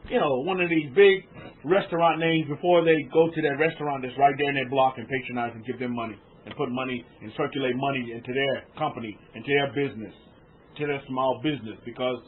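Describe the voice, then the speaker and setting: A man with a high, breathy voice preaches to his congregation, calling them family. He hammers his points home through repetition. The sound is thin and tinny, like a phone line. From United States. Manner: exhorting, repetitive, addresses listeners as family. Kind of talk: sermon.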